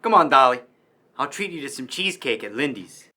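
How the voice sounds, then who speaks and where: A man's voice: mid-range voice, expressive, fairly quick, close to an everyday voice. Easygoing young man on a noisy recording acted. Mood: breezy. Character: easygoing young male radio actor, dry and friendly. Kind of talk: radio play acting.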